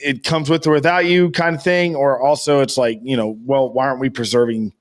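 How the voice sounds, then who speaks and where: A man's voice: mid-range voice, fairly even intonation, a little fast. An American man chatting casually as a podcast co-host, rambling and emphatic, with slangy talk and free swearing.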